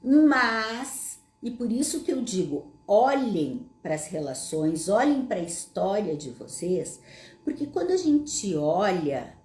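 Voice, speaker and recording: A woman's voice: medium pitch, big pitch swings, slow, darkish tone, echoey room. A woman with a Gaúcho Brazilian accent, hosting her own show, who talks through questions from her audience in a casual, explanatory way, often asking them rhetorical questions. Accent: Gaúcho Brazilian Portuguese. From Brazil, Rio Grande do Sul. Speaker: psychologist host answering audience questions.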